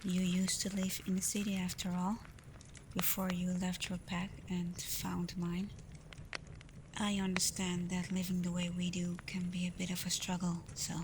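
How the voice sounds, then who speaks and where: A woman voicing a caring alpha werewolf in a soft, breathy ASMR roleplay, gentle and reassuring, confident but privately unsure. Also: fairly low pitch, normal speed, close to an everyday voice. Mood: warm, encouraging. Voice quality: soft, breathy, often near a whisper. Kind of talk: ASMR roleplay, soft intimate talk to the listener. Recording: clear steady ambient noise.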